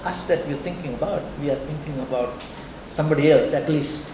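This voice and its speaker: An older man lectures in a very reverberant room, picked up from a distance over loud background noise. He talks deliberately and conversationally, putting questions to his students. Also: on the high side. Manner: deliberate, conversational, checks in often with "okay" and "huh". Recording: echoey lecture room, distant mic, noisy.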